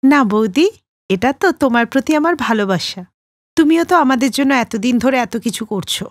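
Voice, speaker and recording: Woman voicing a pert, high-pitched young cartoon bride. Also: lively intonation, moderate pace, no echo, studio quality. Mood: affectionate. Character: breezy, defensive young daughter-in-law. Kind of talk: animated moral-story dialogue.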